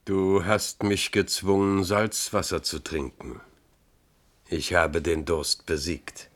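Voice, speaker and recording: An actor in a German radio play, playing a proud, weary older Native chief and father with a solemn, measured, declamatory delivery. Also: low-pitched, little pitch movement, fairly bright tone, no echo. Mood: defiant, solemn. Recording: clearly noisy old radio recording.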